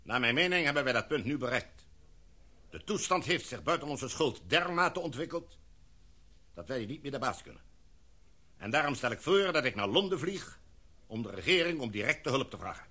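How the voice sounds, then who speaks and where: A man's voice: medium pitch, very animated, normal speed, long pauses, sharp tone, breathy edge, full character voice. An actor playing a commanding, decisive male expedition leader in a radio play, addressing a meeting in a formal, speech-like way. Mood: measured.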